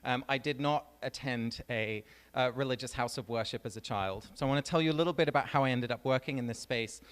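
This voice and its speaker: A man with an English accent giving a personal talk on stage, relaxed and storytelling, with frequent ums. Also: medium pitch, fast talker, smooth. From England. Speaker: guest speaker. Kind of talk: public talk. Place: large venue on stage. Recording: reverberant hall.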